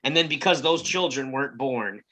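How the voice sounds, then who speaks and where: A person chatting on a video call in an excitable, joking way and calling people "dude.". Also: medium pitch, brisk, a loud crowd. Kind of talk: casual online chat.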